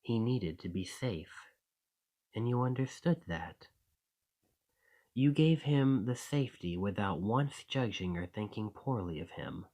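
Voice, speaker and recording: A sinister shapeshifter acted by a man speaks intimately in an ASMR drama. The voice sits fairly low and moves very slowly. The delivery is deliberate and coaxing, with a possessive, softly threatening edge. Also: lively intonation. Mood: tender, obsessive.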